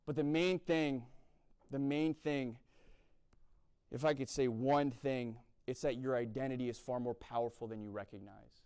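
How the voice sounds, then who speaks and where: A man's voice: medium pitch, fairly even intonation, moderate pace, darkish tone, smooth. A young man from the East Coast of the US giving a blunt, sermon-style talk and often checking in with "right?". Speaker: speaker at a university chapel event.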